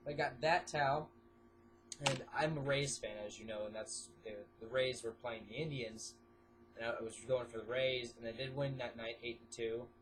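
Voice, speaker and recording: A man's voice: medium pitch, fairly even intonation, moderate pace, music in the background, rough recording. Stuffy-nosed teenage guy rambling on webcam. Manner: rambling, filler-heavy, jumps between topics. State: stuffy nose. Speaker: vlogger. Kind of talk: casual webcam vlog. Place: small bedroom indoors. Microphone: webcam at desk.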